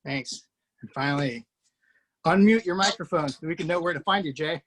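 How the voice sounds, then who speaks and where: A man hosting a casual video-call chat after a table read, easygoing and quick to laugh. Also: on the high side, fairly bright tone.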